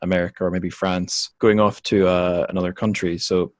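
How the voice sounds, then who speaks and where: A man hosting a casual interview, speaking in a halting, thoughtful way as he works through his questions. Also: low voice, little pitch movement, no echo, studio quality. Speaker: podcast host and interviewer.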